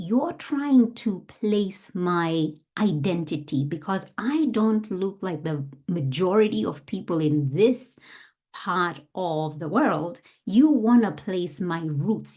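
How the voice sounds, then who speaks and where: Low, mellow woman hosting a podcast. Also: expressive, slow pace. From Australia. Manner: earnest, explanatory, speaks directly to the listener. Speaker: podcast host. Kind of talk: solo podcast monologue.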